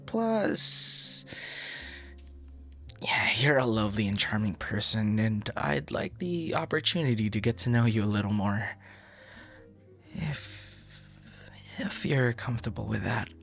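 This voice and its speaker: A man's voice: lowish voice, expressive, measured pace, pauses often, a bit bright, faint music behind, full character voice. A man playing a shy, dorky young man in an ASMR boyfriend roleplay, stammering and bashful, smitten with a stranger. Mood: nervous, hopeful. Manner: stammering, bashful, awkward, breathy laughs and sighs.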